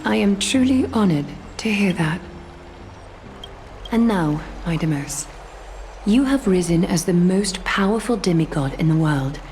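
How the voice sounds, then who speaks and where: A woman's voice: lowish voice, lively intonation, unhurried. An actress playing a regal, serene female leader and demigod in a video game, speaking in a formal, gracious and composed manner. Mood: solemn. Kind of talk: dramatic video game voice acting. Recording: loud music behind the voice. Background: game music.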